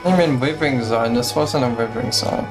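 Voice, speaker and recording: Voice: medium pitch, brisk. A streamer giving casual commentary while playing a game, musing aloud in a hesitant way. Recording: game music behind the voice. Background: video game music.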